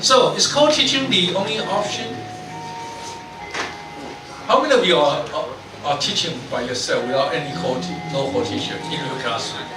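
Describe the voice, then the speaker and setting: A man with a Taiwanese accent leading a teacher training workshop, asking many questions and often restarting his sentences. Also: a little high. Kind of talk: leading a group discussion. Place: school meeting room. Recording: echoey room from far off, noisy.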